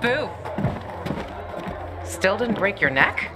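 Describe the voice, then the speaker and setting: A woman's voice: mid-range voice, very animated, very slow. An actress playing a tough, teasing young female fighter in a video game cutscene. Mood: brisk, wry. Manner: blunt, teasing. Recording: music and crowd behind.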